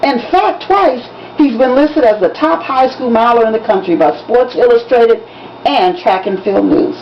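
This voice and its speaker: Lady doing a proud school principal at graduation, formal announcer style, pitched up, lo-fi audio. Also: normal speed, close to an everyday voice. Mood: proud, announcing. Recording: dull lo-fi recording with background noise.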